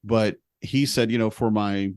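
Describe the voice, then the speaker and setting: Low-voiced man telling stories. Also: measured pace, clean tone, no echo, clean studio sound. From United States. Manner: animated, self-deprecating, humorous storyteller. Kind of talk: casual storytelling conversation.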